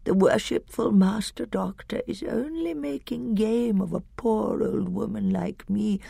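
A narrator voicing a wheedling old witch woman with a shrill whine and drawn-out, falsely sweet flattery. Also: creaky, husky, no echo, studio quality, exaggerated voice. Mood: wheedling, fawning. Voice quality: shrill, whining.

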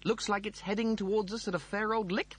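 A man voicing a pompous, wordy, slow-witted spaceship crewman in an audiobook. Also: high voice, fairly even intonation, slightly rough, pitched above the performer's own voice. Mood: indulgent curiosity.

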